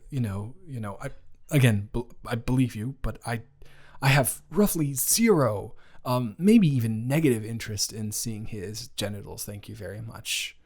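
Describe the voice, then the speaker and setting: A man's voice: mid-range voice, husky. A young man playing a boyfriend's caring best friend in an improvised romantic audio roleplay, talking in a casual, stammering, awkwardly indignant way. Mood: indignant disbelief. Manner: halting, stammering, informal, repeats himself.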